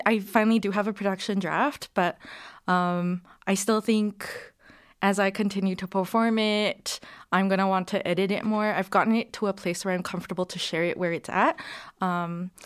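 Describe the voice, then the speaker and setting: A woman's voice: medium pitch, a bit bright, clear, resonant tone. A woman, a playwright and actor answering interview questions hesitantly, with many ums. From Singapore. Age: 30s.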